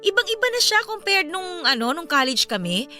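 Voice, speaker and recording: A woman's voice: extremely high pitch, normal speed, exaggerated voice. An actress playing a chatty, candid young woman with a Filipino accent, confiding as a sympathetic friend in a radio drama. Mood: candid, animated. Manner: expressive, conversational, emphatic. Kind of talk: Tagalog radio drama dialogue. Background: music under the voice.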